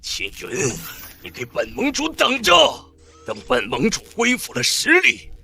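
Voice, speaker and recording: Bright-voiced, pompous alien overlord tyrant. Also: male voice, on the high side, huge pitch swings, unhurried, exaggerated voice. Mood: vengeful fury. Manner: pompous, contemptuous, menacing. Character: arrogant male alien overlord, a godlike tyrant. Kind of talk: dramatic animated-comic dialogue.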